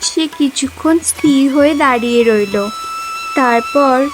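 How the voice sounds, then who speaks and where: A woman narrates a cartoon story in a high-pitched voice. It is scripted storytelling, with music clearly playing underneath. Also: a little slow. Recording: music bed behind narration.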